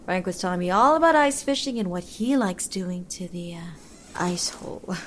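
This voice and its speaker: A woman's voice: fairly low pitch, animated, normal speed, a little hiss or hum, close to an everyday voice. A woman acting as a coy, flirtatious friend of an ice fisherman in a comic audio drama, with suggestive delivery.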